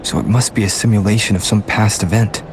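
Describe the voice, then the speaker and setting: Low-voiced, thoughtful game hero, played by a man. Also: fairly even intonation, background noise, natural voice. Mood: puzzled. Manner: measured, analytical inner monologue. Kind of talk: video game voice acting. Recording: background music under the voice.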